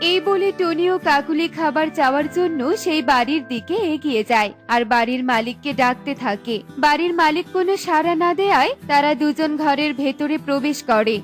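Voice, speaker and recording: A woman reads the narration of a children's cartoon in a calm, even storybook voice. Her pitch sits high for a narrator. Soft kids' music runs beneath her. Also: a little fast, few pauses. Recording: with light background music.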